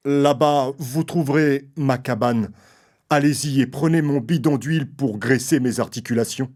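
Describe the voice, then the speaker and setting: A man's voice: medium pitch, normal speed, darkish tone, natural voice. Man voicing a pleading, rusted tin man. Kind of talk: children's audio story acting.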